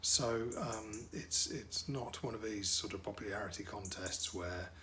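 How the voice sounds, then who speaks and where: A man's voice: lowish voice, unhurried, a bit bright, a little hiss or hum. A middle-aged man, a wedding DJ and MC whose first language is French, talking casually about his work with lots of fillers and repeated words.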